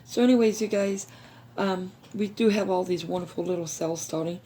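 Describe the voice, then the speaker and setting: A woman with a hoarse, recovering voice hosting a craft how-to video, chatting casually with viewers. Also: on the low side, normal speed, mellow, slight background noise. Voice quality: gravelly. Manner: chatty, addresses viewers as you guys.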